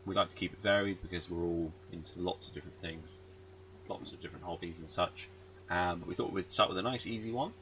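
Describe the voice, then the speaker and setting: A man's voice: low voice, quick pace, pushed and bright, slight background noise. A man hosting a casual podcast over a call, rambling and self-deprecating with lots of fillers. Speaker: podcast host.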